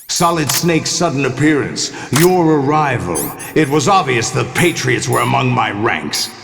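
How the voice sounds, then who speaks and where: Gravelly, echoing villain mastermind. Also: male voice, medium pitch, fairly bright tone, a little hiss or hum. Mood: taunting. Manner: measured, condescending, theatrical. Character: commanding, scheming older male villain mastermind. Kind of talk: dramatic video game cutscene monologue. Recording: echoey hall, long reverb, faint music. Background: faint music.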